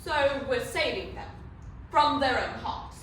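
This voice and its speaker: A woman's voice: high voice, lively intonation, slow, a bit bright, full character voice. A woman playing an earnest, devoted pirate crew member in a stage readers theatre performance. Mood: reverent. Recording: echoey room, far mic, noisy.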